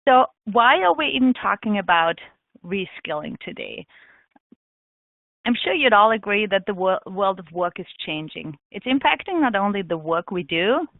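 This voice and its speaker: A woman from Austria giving a webinar presentation, talking in a measured way with frequent ums. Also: medium pitch, no echo. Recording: tinny phone-line audio.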